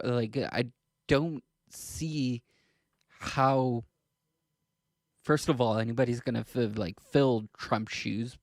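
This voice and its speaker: A man's voice: mid-range voice, a little mellow, no echo. A man from Canada co-hosting a podcast, talking politics casually in a hesitant way, stumbling over his words. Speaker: podcast co-host.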